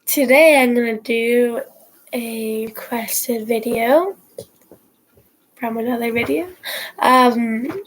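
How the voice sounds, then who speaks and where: A woman's voice: on the high side, slow pace, long pauses, a little hiss or hum, poor audio. A teenage girl with a stuffy nose from a cold, telling a story to her viewers in a casual, rambling way, full of fillers and restarts. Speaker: horse vlogger. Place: at home, indoors.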